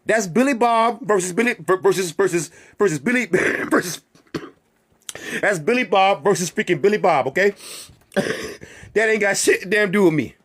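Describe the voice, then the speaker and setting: A man's voice: high-pitched, normal speed, fairly bright tone. A man hosting his own channel, delivering a heated, profane rant to viewers with a string of rhetorical questions and repeated phrases. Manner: heated and emphatic. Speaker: channel host. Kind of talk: ranting monologue to viewers.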